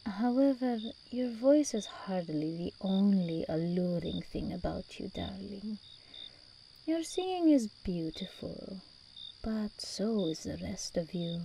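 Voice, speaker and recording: A woman's voice: mid-range voice, expressive, measured pace, vocal fry, mellow, slight background noise, rough recording. A woman playing an imperious, doting and possessive demon princess in an ASMR roleplay, speaking with regal, teasing endearments. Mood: seductive, possessive.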